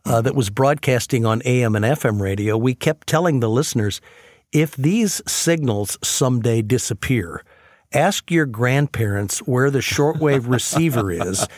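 A man hosts in a mid-range voice with a very dry sound. His delivery is measured and explanatory, like a broadcast conversation aimed at listeners. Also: mid-range voice, lively intonation, no room sound. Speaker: radio show host.

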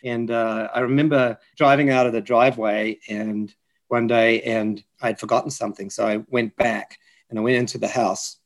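An older man with a mixed South African and New Zealand accent, telling personal stories reflectively as an interview guest, in a halting, hesitant way. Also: mid-range voice, fairly even intonation. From South Africa, later New Zealand. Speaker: podcast guest, physician and chaplain.

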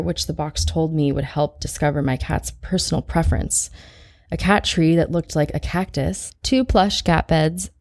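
A woman's voice: fairly low pitch, a little mellow. A woman reading first-person short fiction as a narrator, in a wry, confiding way.

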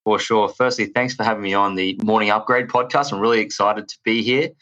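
A man in his thirties with an Australian accent, a podcast guest telling stories in an energetic, rambling way. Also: lowish voice, fairly quick, dull audio. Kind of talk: casual chat.

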